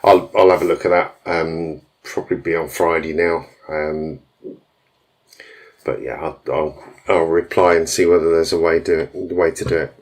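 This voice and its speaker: Male stream host, deep voice, casual and rambling, hesitant delivery. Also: steady tone, moderate pace, darkish tone. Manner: rambling, hesitant, many fillers and restarts. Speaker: tuning shop host answering viewer questions.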